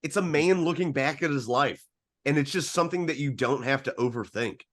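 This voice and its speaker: A man's voice: medium pitch, a little fast. A man co-hosting a casual film discussion, speaking reflectively and swearing freely. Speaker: podcast co-host.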